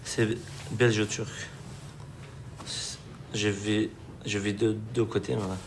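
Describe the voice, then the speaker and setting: A man's voice: on the low side, flat delivery, slow pace, background noise. A man born in Belgium, answering a judge defensively and hesitantly. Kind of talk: answering questions.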